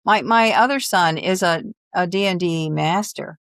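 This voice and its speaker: A woman's voice: fairly low pitch, a little slow, fairly bright tone, no echo, studio quality. A mature woman hosting a conversational interview, reacting with open interest and encouragement. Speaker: interview host.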